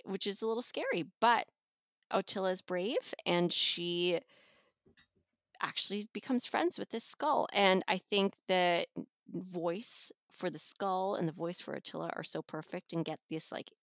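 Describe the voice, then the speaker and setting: A woman's voice: lowish voice, unhurried, no room sound, clean studio sound. A middle-aged woman talking casually as an audiobook reviewer.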